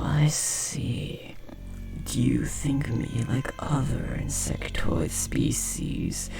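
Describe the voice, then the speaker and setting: A man voicing a possessive, menacing insectoid parasite devoted to his mate in a horror audio roleplay, with a breathy, gravelly whisper and a condescending manner. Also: medium pitch, darkish tone. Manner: slow, sinister, coolly condescending, tender about family.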